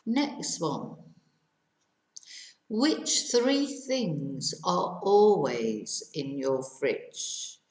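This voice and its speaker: A middle-aged woman, teaching to Spanish speakers, repeating each question slowly and clearly. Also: deep voice, sing-song, a little mellow, echoey room, low-quality recording. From England. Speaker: English teacher. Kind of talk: language lesson for Spanish speakers.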